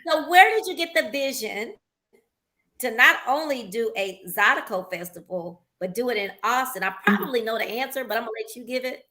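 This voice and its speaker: A woman's voice: a little high, expressive, brisk, sharp tone. A woman hosting a casual chat interview, asking questions and responding in an informal conversational way. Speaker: interview host.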